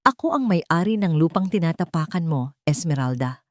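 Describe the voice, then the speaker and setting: A woman's voice: low-pitched, animated, normal speed, no room sound, clean studio sound. Woman as protective landlord man, firm, commanding, angry edge, emphatic, radio drama.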